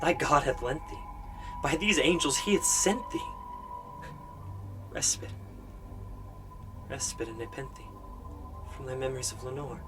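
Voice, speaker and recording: Casting: tormented man male actor, mid-pitched, theatrical and imploring gothic verse over music. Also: animated, measured pace, pauses often, lightly acted. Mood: desperate. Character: anguished man pleading with and banishing a demonic bird. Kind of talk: impassioned dramatic verse in a gothic audio drama. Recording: music behind the voice.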